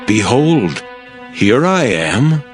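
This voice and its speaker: A man's voice: medium pitch, sing-song, extremely slow, faint music behind. An actor playing a devout, solemn patriarch and father in a dramatized Bible, grave and declamatory. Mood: devout, willing. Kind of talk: dramatized Bible audio acting.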